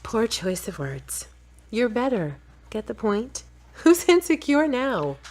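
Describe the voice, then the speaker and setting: A woman's voice: a little high, big pitch swings, slow, a little mellow, heavily acted. A woman playing a frank, supportive girlfriend with a wry manner in an audio drama. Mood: teasing, affectionate.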